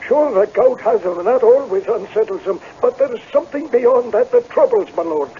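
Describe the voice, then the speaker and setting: An actor in a radio drama playing a laird's stern, gloomy Scottish rent collector, grave and full of foreboding. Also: male voice, extremely high pitch, big pitch swings, a little fast, exaggerated voice. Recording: muffled old radio broadcast, faint noise.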